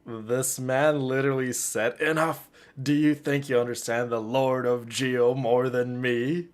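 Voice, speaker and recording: A man livestreaming a game with casual, chatty commentary, often halting and repeating words. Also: medium pitch, little pitch movement.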